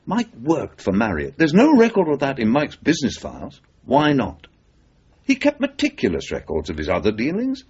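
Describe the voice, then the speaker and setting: An actor playing a driven, persuasive freelance journalist in a radio thriller, speaking with insistent emphasis. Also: male voice, medium pitch, wildly swooping pitch, moderate pace, a little mellow, slightly rough. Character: driven, persuasive male freelance journalist, obsessed with a suspicious death. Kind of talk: radio drama acting. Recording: muffled 1980s radio drama recording.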